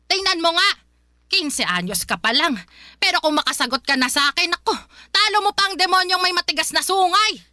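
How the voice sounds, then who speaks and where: A shrill scolding old grandmother. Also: animated, brisk, bright, forceful tone, dry recording, studio quality, heavily acted. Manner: scolding, nagging, preachy. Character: harsh strict religious old grandmother. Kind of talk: radio drama acting.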